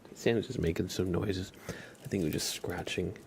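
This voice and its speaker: A man streaming live ASMR, gently and unhurriedly switching between whispering and soft speech, close to the microphone. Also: low voice, fairly even intonation, fairly quick, slight background noise.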